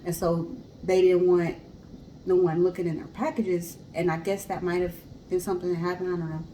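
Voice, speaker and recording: A woman's voice: on the low side, brisk. Chatty woman co-host, noisy background. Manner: chatty, expressive, acts out imagined remarks. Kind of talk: casual chat and informal storytelling.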